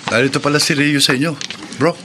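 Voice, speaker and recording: A man's voice: on the high side, steady tone, quick pace, bright, forceful tone, a little hiss or hum. A man acting an earnest young friend in a radio drama. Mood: earnest, concerned. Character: earnest young man seeking help for danger.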